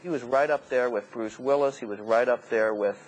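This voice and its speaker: A man's voice: medium pitch, fairly quick, background noise. A man giving expert interview commentary as he explains things. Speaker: interviewee, star-ranking expert.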